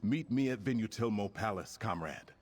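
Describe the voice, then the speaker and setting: Resolute male alliance commander for fantasy game: low pitch, stately formal delivery, music behind. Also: animated, moderate pace, a little mellow, husky. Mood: measured concern. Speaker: quest-giver in a fantasy video game. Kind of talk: scripted game dialogue.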